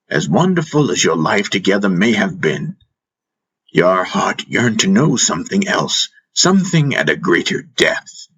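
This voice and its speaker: Guy doing a wise old-school guru in a fantasy audiobook, low husky voice, patient but teasing, keeps asking probing questions. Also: fairly bright tone, full character voice, rough recording. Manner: instructive, rhetorical questioning with prompting hums. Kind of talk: audiobook character dialogue.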